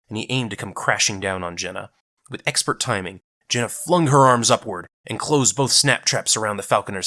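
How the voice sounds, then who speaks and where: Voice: low-pitched, sharp tone. A narrator reading a scripted action story in a dramatic style.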